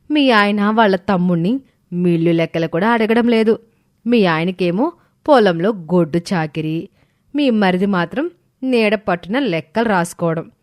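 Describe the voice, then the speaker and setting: A woman's voice: medium pitch, a little mellow, no echo, clean studio sound, lightly acted. A woman playing suspicious, sly young women in a cartoon family story. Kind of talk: scripted cartoon dialogue.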